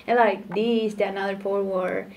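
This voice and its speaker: A young woman from Chile, her first language Spanish, picks her way through English in short, halting phrases. Her pitch stays flat and even. She sits back from the microphone. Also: vocal fry, distant mic, rough recording. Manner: halting, searching for English words. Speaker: soccer player guest. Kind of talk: casual interview chat. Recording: voice from off the mic.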